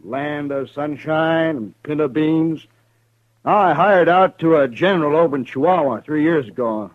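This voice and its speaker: A man's voice: a little high, unhurried, close to an everyday voice. An actor playing a grown male drifter gunman with a wry, drawling way of spinning tall tales, in a 1950s radio western. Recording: dull old radio broadcast.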